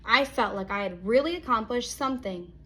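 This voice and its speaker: A woman reading a children's picture book aloud as a storyteller, expressive, with dramatic pauses and acted-out exclamations. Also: fairly high pitch. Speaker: read-aloud storyteller, teacher.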